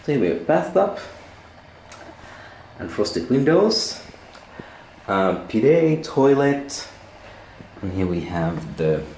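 A man giving a casual, unscripted hotel room walk-through as a travel blogger, halting and repeating words, recorded on a handheld camera. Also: on the low side, very animated, a little mellow, a little hiss or hum, low-quality recording. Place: indoors, moving around a hotel room. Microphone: handheld camera, operator behind it.

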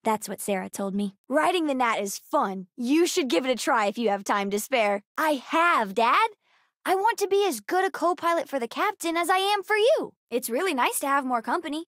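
A young girl from a space adventure game, with a high voice and a dry, close sound. She is bookish and spirited, speaking in an earnest, chatty, childlike way. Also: lively intonation, normal speed, a bit bright, no room sound. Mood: bright, eager. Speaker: video game voice actress. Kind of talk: acted video game character dialogue.

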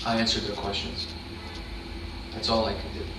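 A man's voice: fairly low pitch, monotone, normal speed, sharp tone. Young guy acting stubborn evasive war criminal husband in a play reading, short defensive answers, far off mic, noisy. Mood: resigned, flat. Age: young adult. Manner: terse, defensive, controlled. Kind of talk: staged reading of a drama. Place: indoor room, table before a plain wall. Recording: echoey room, distant microphone, clear noise.

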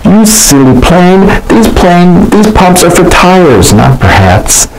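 Man doing a silly, high-pitched jolly pump fellow. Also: animated, slow, a little hiss or hum, heavily acted, rough recording. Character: very silly, jolly male gas pump character.

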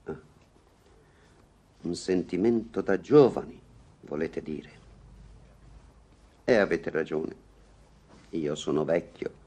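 An actor playing a gentle, self-mocking old recluse wounded in love, husky and halting, in an Italian radio play. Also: male voice, low voice, animated, full character voice. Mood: rueful. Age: older. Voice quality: gravelly, husky. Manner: halting, rueful, bitterly self-deprecating.

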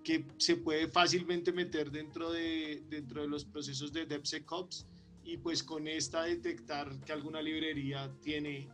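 A man's voice: on the high side, flat delivery, measured pace, fairly bright tone, soft background music. A man presenting his work at a conference and answering questions over a video call, often hesitating and repeating words. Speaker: conference speaker. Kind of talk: conference talk and Q&A.

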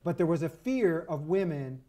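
A middle-aged American man hosting an onstage interview, asking probing questions in a halting, conversational way. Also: fairly high pitch, lively intonation, a crowd in the background. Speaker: interviewer, podcast host. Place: on stage in a small dark theater.

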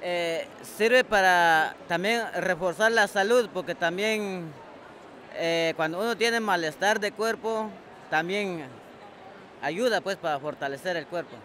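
Higher-voiced Guatemalan man, hesitant. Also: a little slow, bright, forceful tone. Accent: Guatemalan Spanish. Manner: hesitant, simple phrasing. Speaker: producer at a fair stand. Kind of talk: interview answers. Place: busy indoor trade fair hall. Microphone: handheld interviewer mic. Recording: faint background noise.

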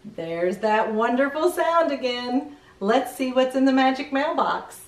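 A middle-aged woman hosting a children's read-aloud, expressive, reading picture-book lines and chatting to young viewers with questions. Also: high voice, unhurried, soft background music. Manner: expressive, addressing small children with questions and exclamations. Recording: roomy echo, distant microphone.

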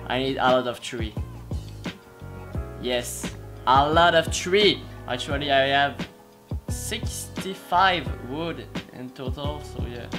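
Young male gaming host, non-native English, jumpy and hesitant, headset mic, music under the voice. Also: fairly high pitch. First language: not English. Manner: excitable, hesitant, frequent exclamations. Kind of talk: casual gameplay commentary.

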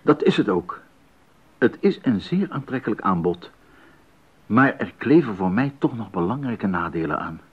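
An actor playing an earnest, devout and determined musician husband in a 1980s radio play, with formal, emphatic diction. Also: male voice, lowish voice, big pitch swings, normal speed, slightly rough. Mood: pleased. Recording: dull, muffled 1980s radio recording.

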